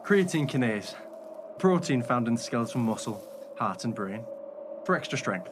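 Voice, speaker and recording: An actor playing a calculating, glib hotel manager who is secretly a werewolf, in a radio play. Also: male voice, mid-range voice, big pitch swings, normal speed, close to an everyday voice. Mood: sly, evasive. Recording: noisy recording with loud background ambience.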